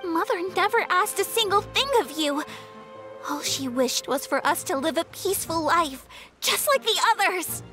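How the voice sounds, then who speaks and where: A woman's voice: very high voice, animated, moderate pace, pushed and bright, faint music behind, full character voice. A woman voicing a fiery, defiant young musketeer in an anime game, with dramatic, emphatic delivery. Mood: indignant. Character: fiery, defiant young female musketeer avenging her mother. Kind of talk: theatrical anime game acting.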